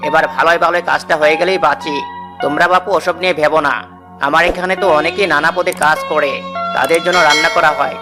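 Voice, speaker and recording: Village landlord, male actor: folksy, patronising, quick delivery, slightly high pitch, fairy-tale cartoon. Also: steady tone, lightly acted. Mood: genial, accommodating. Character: wealthy, genial village landlord, a grown man. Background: music.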